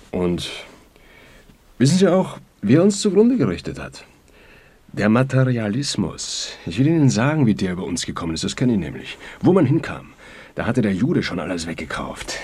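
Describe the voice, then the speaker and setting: Pompous lecherous fascist druggist in a radio play, husky, swooping up and down, preachy slogans. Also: male voice, medium pitch, darkish tone. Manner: declamatory, sloganeering.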